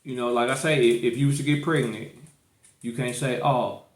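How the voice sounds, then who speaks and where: A man's voice: medium pitch, fairly even intonation, normal speed. St guy on a podcast, real casual n opinionated, keeps repeating himself, roomy echoey sound. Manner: repetitive, emphatic, colloquial. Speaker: podcast host.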